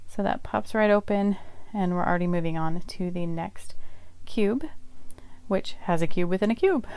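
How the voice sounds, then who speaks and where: A woman's voice: medium pitch, a little mellow, smooth, dry recording. A young woman whose first language is Polish, chattily walking through a homemade puzzle project in a casual tutorial. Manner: chatty, explanatory, occasional laughs. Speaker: craft vlogger.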